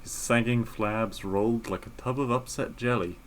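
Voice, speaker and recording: A man's voice: mid-range voice, fairly even intonation, moderate pace, slight background noise. A man co-hosting a podcast, reading a story aloud and chatting casually about it. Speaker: podcast co-host.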